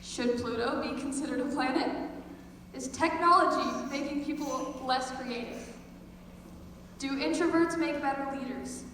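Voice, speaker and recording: High-voiced young woman in a very echoey hall. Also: measured pace, fairly bright tone. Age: teen or young adult student. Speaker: student theater performer. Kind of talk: performed comic monologue to an audience. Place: school theater stage, live audience. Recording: echoey hall, far from mic, noisy.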